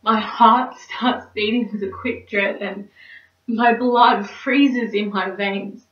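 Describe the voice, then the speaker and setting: A woman's voice: mid-range voice, fairly even intonation, slow, fairly bright tone. A young woman performing a stage monologue as a neurotic, melodramatic single woman, theatrical and anxious. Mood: dramatic dread. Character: neurotic, dramatic single woman dreading dating. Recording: roomy, distant mic, slightly dull.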